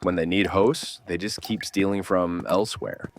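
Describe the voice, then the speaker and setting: An actor playing a gruff, weary survivor with a wry, streetwise way of explaining things, in a horror audio drama. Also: male voice, deep voice, steady tone, normal speed, slight background noise. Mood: grim explaining. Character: gruff, weary male survivor of a nightmare dimension.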